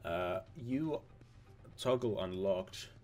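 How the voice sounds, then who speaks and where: A young man, a little hoarse from losing his voice, giving casual rambling commentary over game footage, repeating himself and restarting words. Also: low-pitched, animated, extremely slow, darkish tone, soft background music. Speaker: gaming video host.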